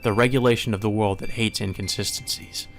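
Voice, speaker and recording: A man reading a visual novel aloud as dramatic, solemn and measured narration. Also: lowish voice, fairly even intonation, creaky. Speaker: narrator, let's play reader. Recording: music behind the voice.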